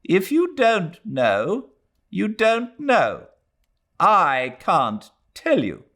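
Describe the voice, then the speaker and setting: A man's voice: sits high, wildly swooping pitch, extremely slow, fairly bright tone, voice pushed up, full character voice. A man voicing a bitter, weary old woman. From Britain. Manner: sour, clipped, resentful.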